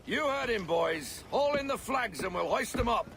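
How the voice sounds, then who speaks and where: An actor playing a gruff, salty old sea captain in a video game, blunt and cantankerous, with a gravelly voice. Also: male voice, very high-pitched, expressive, moderate pace, fairly bright tone, a little hiss or hum, exaggerated voice. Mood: commanding. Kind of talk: dramatic video game acting.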